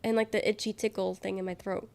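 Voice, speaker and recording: Nasal, gravelly-voiced sick woman streamer. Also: mid-range voice, flat delivery, fast, darkish tone. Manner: rambling, reads chat questions aloud. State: sick, congested voice. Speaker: livestreamer. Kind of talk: casual chat with viewers.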